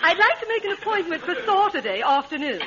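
An actress playing an affected, flirtatious glamorous film star in a 1940s radio comedy. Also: female voice, extremely high pitch, big pitch swings, fast, muffled recording. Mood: gushing, flirtatious. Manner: affected, gushing, coquettish. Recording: noisy old radio recording, studio audience.

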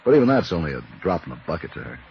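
A man playing a skeptical homicide lieutenant in 1950s radio style, gruff and offhand. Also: low-pitched, very animated, very fast. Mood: wry, emphatic. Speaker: supporting radio actor. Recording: muffled, noisy old radio recording.

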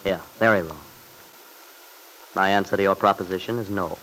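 A man's voice: low-pitched, moderate pace, a bit bright, low-quality recording. An actor playing a determined young father in an old-time radio drama, earnest and firm but polite. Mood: resolute.

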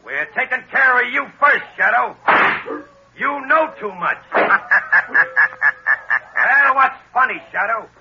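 A cocky gangster boss in an old radio thriller. He sneers and barks orders in a high, piercing voice that swings up and down. The recording is muffled with faint noise. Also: lively intonation, takes it slowly, long pauses, full character voice. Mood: sneering. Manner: snarling, sneering commands. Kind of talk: 1940s radio acting. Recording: dull, noisy old radio broadcast recording.

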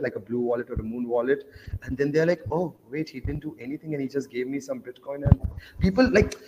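A man's voice: a little high, darkish tone, long reverb tail, muffled recording, a little hiss or hum. A man from Oman, a guest on a casual panel chat, telling stories eagerly with loose grammar.

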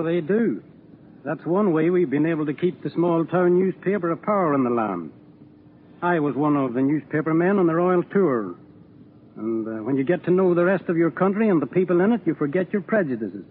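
A man's voice: on the high side, lively intonation, moderate pace, phone-quality sound. A man, a small-town editor, speaking earnestly as a guest in a scripted 1940s radio exchange. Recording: noisy band-limited 1940s radio broadcast.

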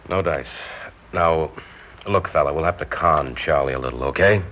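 An actor playing a kind, flustered building superintendent in a classic radio drama, hesitant and pleading. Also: male voice, a bass voice, moderate pace, slight background noise. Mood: brisk, evasive. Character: soft-hearted, flustered male building superintendent. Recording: dull old radio recording.

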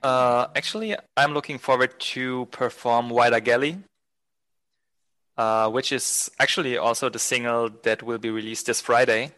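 Guy from a band, bright and smooth voice, rambles a bit with lots of ums. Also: medium pitch, moderate pace. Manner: relaxed, rambling, many fillers. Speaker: band musician. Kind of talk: interview answers.

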